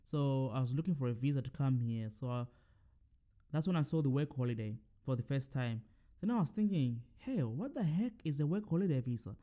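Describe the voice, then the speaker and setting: A man's voice: medium pitch, animated, brisk, warm tone. A young man from Zimbabwe hosting his own podcast, talking casually to his listeners with lots of fillers and restarts. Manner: rambling, many fillers and self-corrections. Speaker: podcast host. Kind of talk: casual solo monologue.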